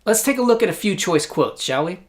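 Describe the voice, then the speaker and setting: A man's voice: high voice, lively intonation, brisk. An American man hosting a satirical news show, delivering scripted comedic commentary in a sarcastic, mock-incredulous way.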